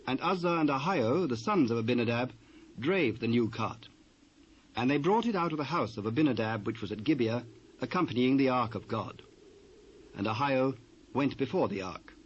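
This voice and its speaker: Narrator for audio Bible: British man, mid-high register, measured and formal, dull cassette with slight hiss. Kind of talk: scripted narration, dramatised Bible reading. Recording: dull, noisy old cassette.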